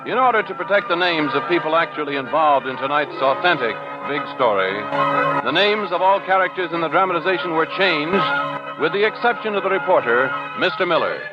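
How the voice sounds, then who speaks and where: A man with a fairly high voice announces in formal, polished 1940s radio style. The old broadcast sound is dull, and music plays loudly behind him. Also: lively intonation, a little fast. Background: loud program music.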